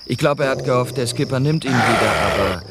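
An actor playing a gruff gangster's guard in a radio drama, chatting in a casual, matter-of-fact way. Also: male voice, medium pitch, fast, very noisy, natural voice. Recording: loud chirping night insects behind.

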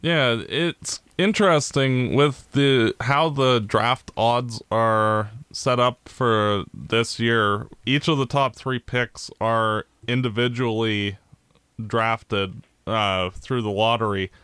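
A man co-hosting a podcast, explaining things step by step in a measured way. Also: mid-range voice, steady tone, a bit bright, smooth, no echo. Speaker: podcast co-host. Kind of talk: casual sports chat.

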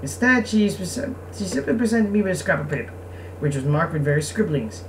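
Woman gamer, noisy distant mic. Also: on the low side. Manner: halting, repeats words. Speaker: game streamer. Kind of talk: casual playthrough commentary and read-aloud.

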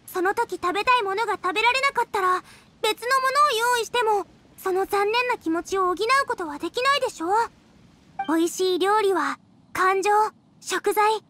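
A woman's voice: very high-pitched, sharp tone, a little hiss or hum. A woman voicing a bubbly, eager young girl in an anime fantasy game, chirpy and casual. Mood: earnest. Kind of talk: Japanese anime game voice acting.

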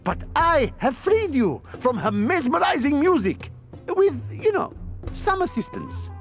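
A man's voice: very high voice, big pitch swings, a little slow, heavily acted. A man playing a grumpy, sarcastic older thief in a video game, with a theatrical, weary manner. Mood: exasperated. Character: grumpy aging male thief in a turban, sarcastic complainer. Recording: music behind the voice.

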